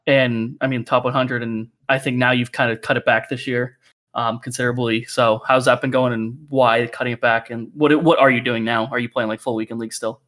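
A man's voice: medium pitch, flat delivery, brisk, a bit bright. A young man hosting a podcast, chatting casually with lots of fillers and reading a sponsor plug. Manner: easygoing, filler-heavy. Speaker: podcast host.

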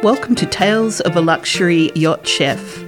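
A friendly woman with a lowish voice hosts a podcast and chats easily with her guest. She laughs often, and faint music plays under her intro. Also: normal speed. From Australia. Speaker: podcast host, yacht chef. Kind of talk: podcast interview.

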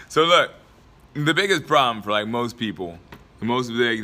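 A young man talks straight to his viewers with a bright, forceful edge, his voice a little raspy and dry. He rambles through motivational advice, leaning on fillers and breaking into laughs now and then. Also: on the low side. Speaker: motivational vlogger and podcaster. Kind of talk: casual motivational talk to camera.

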